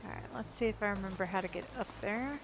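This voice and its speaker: A woman's voice: mid-range voice, fast, noisy background. A woman narrating her own gameplay in a casual, upbeat way, thinking aloud as she goes. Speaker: streamer playing and narrating a video game.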